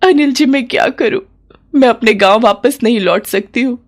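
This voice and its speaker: A woman plays a young village bride in a moral cartoon tale. She speaks earnestly and with emotion, lifting her pitch a little. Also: normal speed, lightly acted. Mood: anguished. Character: young village bride, devoted, distressed, pleading.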